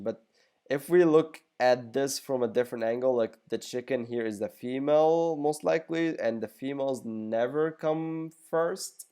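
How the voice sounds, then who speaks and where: A man's voice: medium pitch, a little mellow, clean tone. A young man whose first language is Arabic, answering viewer questions in a casual, rambling way with joking asides. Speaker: gaming YouTuber. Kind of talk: casual Q&A video.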